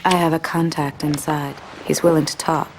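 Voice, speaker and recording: Low-voiced, cool femme fatale. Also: female voice, flat delivery, normal speed, slight background noise. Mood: guarded, urgent. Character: cool, guarded female fugitive femme fatale. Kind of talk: noir game dialogue.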